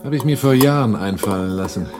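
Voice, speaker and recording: An actor playing a shrewd, cool-headed arms smuggler in a German radio drama, husky and matter-of-fact. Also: male voice, lowish voice, brisk, over music, close to an everyday voice.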